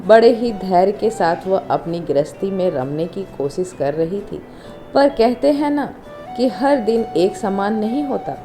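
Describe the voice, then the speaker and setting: A woman narrating an emotional family story as a storyteller, in a measured, expressive way. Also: medium pitch. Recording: music under the voice. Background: instrumental music.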